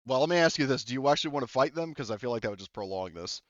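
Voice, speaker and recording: A man's voice: medium pitch, lively intonation, racing, no room sound. A man running a tabletop game as game master, rambling loosely and laughing often. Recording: dull, lo-fi mic sound.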